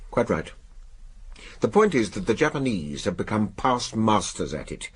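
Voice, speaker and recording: A commanding older English spymaster in radio drama. The voice is fairly low and swings widely in pitch. He is brusque and clipped, over faint noise. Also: sing-song, moderate pace. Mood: brisk, confidential. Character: gruff, commanding older male spy chief.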